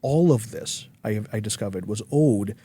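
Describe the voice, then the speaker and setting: A man's voice: on the low side, lively intonation, normal speed, dark tone, breathy edge. A man from Malaysia, speaking earnestly as a guest in a podcast interview, with frequent restarts. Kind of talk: conversational interview.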